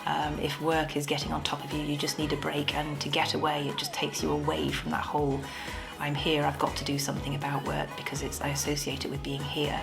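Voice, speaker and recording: A woman in her forties talking in a relaxed, hesitant way about getting away, with music behind. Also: low-pitched, brisk, gravelly, hardly any pauses. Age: 40s. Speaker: interviewee. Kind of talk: casual interview answers.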